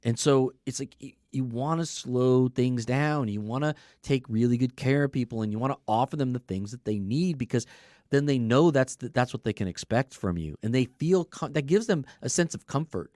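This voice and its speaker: A man's voice: mid-range voice, moderate pace, warm tone, dry recording, studio quality. A man talking as a business coach in a casual podcast conversation, explaining his points and telling anecdotes in a conversational way. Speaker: car dealership service coach.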